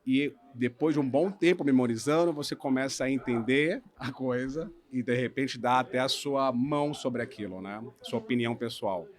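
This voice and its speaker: A man from Brazil, a lawyer in his thirties, telling the story of his career in a relaxed podcast conversation, often checking in with "né?". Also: mid-range voice, normal speed, few pauses. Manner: relaxed storytelling, hedging with frequent "né?". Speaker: guest lawyer. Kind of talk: casual podcast interview.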